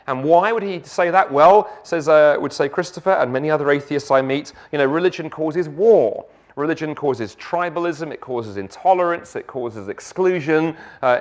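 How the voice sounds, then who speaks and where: A middle-aged man with a South London accent gives an evening church talk, lively, with joking asides and rhetorical questions. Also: on the high side. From England. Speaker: guest speaker.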